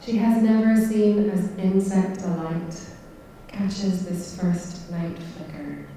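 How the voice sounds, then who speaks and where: Slow, dark-voiced woman reciting verse. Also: mid-range voice, little pitch movement, slight background noise. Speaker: poetry reader. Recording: echoey hall from far off.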